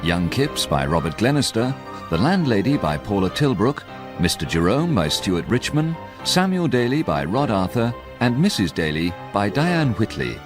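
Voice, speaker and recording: Low-voiced male radio announcer, lively intonation. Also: moderate pace, over music. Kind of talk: formal scripted credits announcement.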